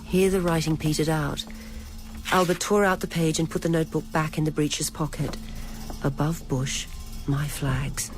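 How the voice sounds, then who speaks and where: A storyteller reads literary fiction in a measured, scripted style. The voice sits fairly high in its register, with music playing clearly behind it. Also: fairly even intonation, rough recording. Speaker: narrator. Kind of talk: scripted audiobook-style narration of a novel.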